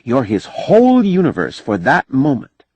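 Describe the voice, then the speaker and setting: A man voicing a frank, dreamy, teasing woman in an audiobook, with emphatic, drawn-out delivery. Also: medium pitch, huge pitch swings, a little mellow, slightly rough, no echo, voice pushed up. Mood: dreamy, admiring. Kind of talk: audiobook character dialogue.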